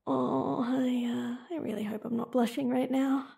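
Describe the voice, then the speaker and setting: A woman's voice: high-pitched, flat delivery, unhurried, creaky, warm tone, husky, full character voice. A woman voicing a young woman who teases her childhood friend while hiding a crush, switching between whispered inner thoughts and playful banter in an audio roleplay. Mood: playful teasing. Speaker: voice actress.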